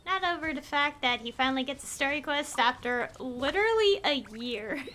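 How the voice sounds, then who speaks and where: A young woman doing casual livestream game commentary, excitable and rambling, often correcting herself. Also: high-pitched, moderate pace. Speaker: game streamer. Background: faint game music.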